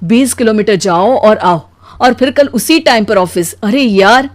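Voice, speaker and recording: A woman voicing a weary, put-upon, soft-hearted husband in an animated story, with a plaintive manner. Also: medium pitch, a little slow, dry recording, natural voice.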